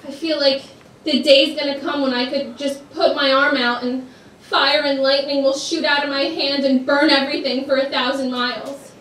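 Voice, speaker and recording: Tormented woman, played by an actress, high voice, raw theatrical confession, roomy far-off stage sound. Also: flat delivery, moderate pace, a bit bright. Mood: brooding dread. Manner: blunt, profane, intense. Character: tormented, guilt-ridden woman confessing a dark past. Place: competition stage, indoors. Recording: echoey stage, distant mic, faint noise.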